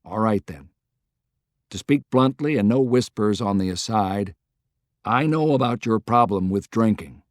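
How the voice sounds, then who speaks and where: A man's voice: on the low side, lively intonation, unhurried, no echo, clean studio sound. A man voicing a plain-spoken, weary male American president with a frank, folksy manner, in an audiobook. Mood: stern.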